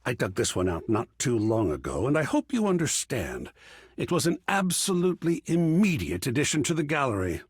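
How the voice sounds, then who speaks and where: An effusive art curator from a video game, with a wildly swooping pitch and a slightly husky edge. He is refined, fussy and theatrical, lingering over ornate phrases. The recording is dry and close. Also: wildly swooping pitch, brisk, dry recording. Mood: proud, welcoming. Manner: florid, fussy, swooping, savoring words. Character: effusive, refined male art curator, an artwork come alive. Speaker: gallery curator character.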